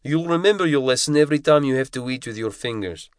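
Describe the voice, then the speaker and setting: A man voicing a giant, abusive Russian parachute instructor who yells and spits as he scolds. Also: medium pitch, expressive, fast talker, no room sound, studio quality, voice pushed up, close to an everyday voice. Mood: scolding. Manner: yelling, abusive, spitting.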